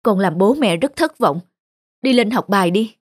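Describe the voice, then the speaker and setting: A woman's voice: mid-range voice, expressive, normal speed, lightly acted. A woman playing a stern, worried mother in a cartoon dub. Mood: stern, disappointed. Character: stern, worried mother scolding her son. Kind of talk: Vietnamese cartoon dubbing.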